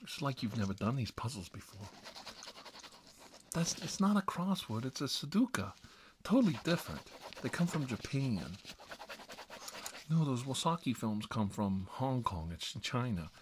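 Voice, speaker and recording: A man's voice: mid-range voice, very animated, moderate pace, long pauses. A man performing a chatty ASMR role-play as a fussy, petty grown man stuck babysitting, rambling on in a gossipy, petulant manner. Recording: clearly noisy recording with clicks.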